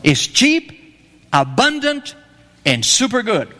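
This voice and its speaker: A man's voice: high-pitched, very animated, slow pace, sharp tone. A man from Argentina preaching an evangelistic sermon, emphatic and pleading, repeating his key phrases. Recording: long reverb of a hall.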